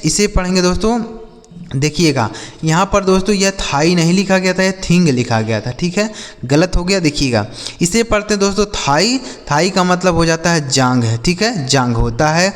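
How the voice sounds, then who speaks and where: A man's voice: high voice, lively intonation, moderate pace, a bit bright, big-room sound. A man teaching English vocabulary, spelling each word letter by letter and repeating its meaning several times. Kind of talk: slow spelled-out vocabulary lesson.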